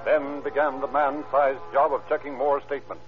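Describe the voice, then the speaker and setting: A man's voice: mid-range voice, flat delivery, moderate pace, natural voice. A man as an authoritative narrator of a 1930s radio crime drama. His delivery is formal and clipped, over prominent music, on a dull vintage recording. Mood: grave, urgent.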